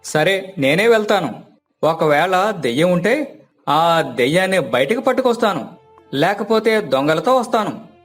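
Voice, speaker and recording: Man acting a village strongman faking magic sight, high voice, earnest, music underneath. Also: lively intonation, measured pace, fairly bright tone, heavily acted. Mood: confident bluffing.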